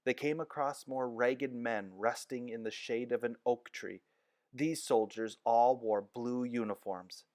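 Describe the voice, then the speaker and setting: A man reading a children's chapter book aloud with expressive storytelling. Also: medium pitch, unhurried, no echo. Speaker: narrator.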